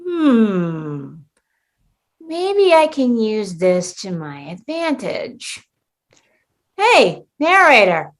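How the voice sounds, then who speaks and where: Woman acting petty sitcom schemer, high voice, slow delivery, theatrical, defiant, radio comedy. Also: sing-song, heavily acted. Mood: wary, curious. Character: disgruntled, scheming woman trapped in a holiday sitcom. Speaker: actor in a radio play.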